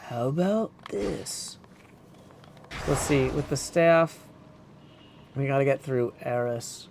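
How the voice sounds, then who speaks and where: A man's voice: mid-range voice, expressive, slow pace, gravelly, darkish tone, a little hiss or hum. A man doing casual gaming commentary as a live streamer, thinking aloud playfully and reading chat.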